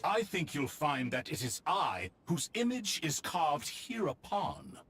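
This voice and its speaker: An actor voicing a pompous, gallant spirit in a video game, with low rumbling noise. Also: male voice, wildly swooping pitch, takes it slowly, a bit bright, exaggerated voice. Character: pompous, gallant spirit lending power through a gauntlet. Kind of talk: game voice acting.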